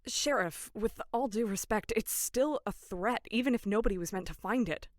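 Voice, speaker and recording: A woman's voice: medium pitch, moderate pace, no echo, close to an everyday voice. A woman acting as an earnest, anxious and indignant young camp counselor in an audio drama. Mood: insistent.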